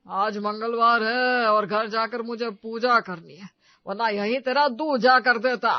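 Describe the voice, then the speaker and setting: A man plays a pompous middle-aged father in a comic family drama, with a very high voice in a dry, dull recording. He blusters, boasts and scolds with a short temper. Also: steady tone, measured pace, no echo. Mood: threatening. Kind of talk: Hindi comedy story dramatization.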